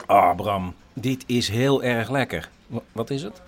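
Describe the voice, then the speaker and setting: A man's voice: mid-range voice, measured pace, slight background noise, close to an everyday voice. An actor playing an amiable male colleague in a radio comedy. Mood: appreciative.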